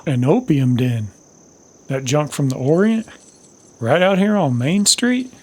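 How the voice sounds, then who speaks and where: An actor playing a weary, bewildered bounty hunter in an old-time western radio drama, with a husky voice. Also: male voice, on the high side, lively intonation, unhurried. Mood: shocked disbelief.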